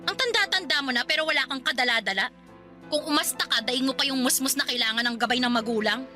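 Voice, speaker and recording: An actress playing a sharp-tongued, nagging older sister in her late twenties in a Filipino radio drama. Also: high-pitched, fast, faint music behind. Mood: scolding. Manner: sarcastic, nagging, confrontational.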